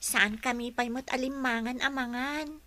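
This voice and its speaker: A woman's voice: sits high, steady tone, moderate pace, very noisy, lightly acted. A woman playing a dutiful, helpful schoolgirl from a poor family in an audio drama, with an Ilocano accent. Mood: plaintive. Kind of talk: Ilocano radio-style drama acting.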